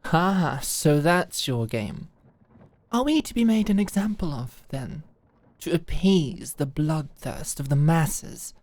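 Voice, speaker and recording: A woman's voice: sits low, animated, slow pace, a little mellow, exaggerated voice. A woman playing a proud, combative lady knight and devoted lover in a theatrical, sardonic ASMR audio drama. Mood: sardonic defiance. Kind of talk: dramatic ASMR roleplay acting.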